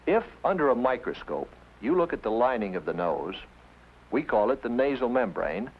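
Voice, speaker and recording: Animated 1950s educational film narrator, man. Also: medium pitch, rough recording. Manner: didactic, emphatic, with rhetorical questions. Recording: 1950s film soundtrack with music.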